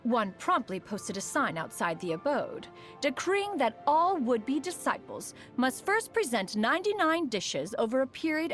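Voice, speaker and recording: A woman playing a proud, refined female immortal in a video game, speaking in a formal, stately manner, aloof yet fond beneath it. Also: a little high. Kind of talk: scripted video game character monologue.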